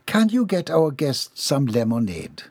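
Proper hostess put on by a reader, polite, measured, lifted voice. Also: medium pitch, very animated, darkish tone, husky, dry recording, pitched above the performer's own voice. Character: genteel lady of the house.

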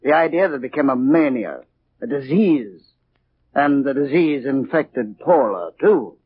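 An actor playing an ancient, weary sea captain telling a brooding tale in 1940s radio style. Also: male voice, mid-range voice, expressive, noisy background, lightly acted. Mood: brooding, bitter. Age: older. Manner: unhurried, brooding storyteller. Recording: muffled 1940s radio recording.

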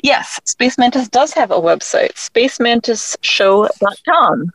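A woman's voice: a little high, expressive, measured pace, fairly bright tone, no room sound, rough recording. A woman speaking casually as an interview guest, chatty and self-deprecating as she talks about her own work as an author. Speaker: interview guest, author and audio drama creator.

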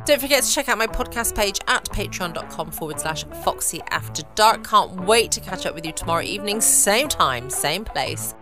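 A woman's voice: mid-range voice, lively intonation, normal speed, hardly any pauses. A woman, speaking as a podcast host in a chatty style. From United Kingdom. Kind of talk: podcast sign-off chat. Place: at home. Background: music.

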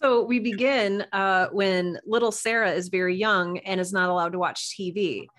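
A woman's voice: mid-range voice, steady tone, moderate pace, fairly bright tone. A woman from the United States talking as a podcast guest, chatty and explanatory in a casual interview.

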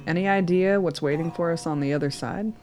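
A woman's voice: fairly low pitch, a little fast, a little mellow, soft background music, lightly acted. A woman playing an inquisitive, unsure traveler in a radio drama, asking things in a plain, direct way. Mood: curious, uneasy. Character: inquisitive, uncertain woman traveler in post-war wilds.

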